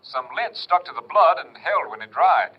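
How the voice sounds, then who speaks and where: Husky, official ranger captain. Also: male voice, fairly high pitch, big pitch swings. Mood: businesslike. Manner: brisk, official, matter-of-fact. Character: businesslike Texas Ranger captain reporting lab findings. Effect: long-distance telephone voice. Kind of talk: 1950s radio drama acting. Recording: muffled vintage radio, telephone scene.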